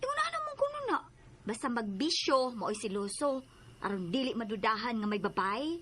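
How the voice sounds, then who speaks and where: A woman's voice: fairly high pitch, very animated, moderate pace, background noise. A woman playing a blunt, suspicious friend passing on gossip in a radio drama, with a Cebuano Filipino accent. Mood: gossipy, insinuating. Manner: gossipy, insinuating.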